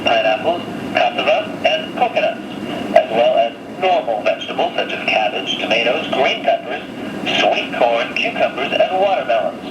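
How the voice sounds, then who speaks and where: A man hosting a radio program, reading in a measured, clearly enunciated presenter's delivery over shortwave radio. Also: mid-range voice, lively intonation. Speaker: radio host. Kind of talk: reading a listener's letter on air. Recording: noisy, echoey shortwave radio broadcast.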